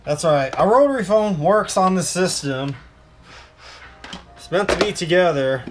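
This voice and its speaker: A man's voice: high voice, animated, a little slow, loud music behind the voice. A young man hosting a casual hobby show-and-tell, rambling informally as he addresses his viewers. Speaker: hobbyist video host.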